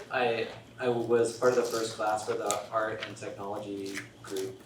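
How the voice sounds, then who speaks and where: A soft-spoken man speaking on a panel, hesitant and stammering, with self-deprecating humor. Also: fairly low pitch, level tone, takes it slowly. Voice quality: quiet voice. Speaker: panelist, software designer. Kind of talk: panel discussion. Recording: echoey hall, distant mic, noise.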